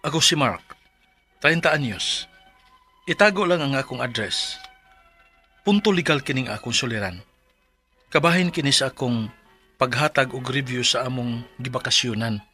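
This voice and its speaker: A man's voice: mid-range voice, animated, normal speed, pauses often, pushed and bright, soft background music. An actor with a Filipino Bisaya accent playing a mild husband and father in his thirties in a radio drama. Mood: earnest narrating. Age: 30s. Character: Mark, mild thirty-year-old husband and father, let down. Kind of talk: Philippine radio drama acting and letter narration.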